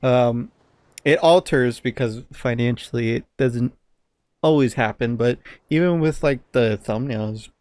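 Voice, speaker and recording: A man's voice: mid-range voice, unhurried, a little mellow, no echo. A young man co-hosting a podcast in an informal, slightly sardonic conversational style. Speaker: podcast co-host. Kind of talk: casual podcast chat.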